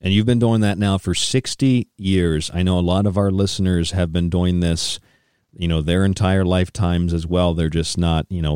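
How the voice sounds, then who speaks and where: Deep-voiced man of thirty, closely recorded. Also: a little mellow, clear, resonant tone, no room sound, clean studio sound. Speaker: radio show host. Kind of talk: radio interview with long reflective questions.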